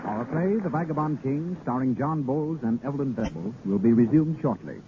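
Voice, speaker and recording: A man's voice: medium pitch, animated, fairly quick. A man announcing in the style of a 1930s radio commercial narration. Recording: noisy, tinny 1930s radio recording.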